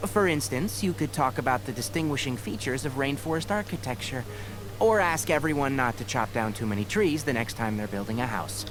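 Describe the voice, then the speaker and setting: Casting: male actor for measured, wry young game scholar, medium pitch, articulate, music in the background. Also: animated, close to an everyday voice, rough recording. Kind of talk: English game voice acting.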